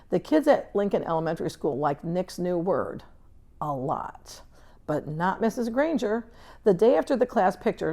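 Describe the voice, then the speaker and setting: Female storybook narrator, lower register with a creaky edge, reading aloud for children. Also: warm tone. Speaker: reader. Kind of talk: children's book read-aloud, scripted narration.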